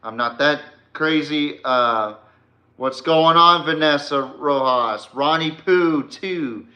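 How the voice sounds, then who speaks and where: A man's voice: a little high, slow pace, sharp tone. A man hosting a live sports podcast, talking casually and emphatically, as an opinionated fan who swears freely. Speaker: podcast host.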